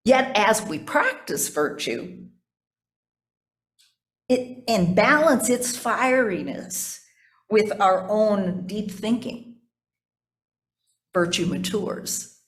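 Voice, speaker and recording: An older woman delivers a sermon as a pastor. She speaks deliberately and with emphasis on key words. Her reflective preaching loosens into folksy, conversational asides and rhetorical questions. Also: mid-range voice, animated.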